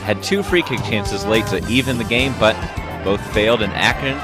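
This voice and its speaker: A young man doing casual, lively voice-over commentary on soccer highlights for a student sports show. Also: moderate pace. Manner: lively, casual, laughs at his own slips. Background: music.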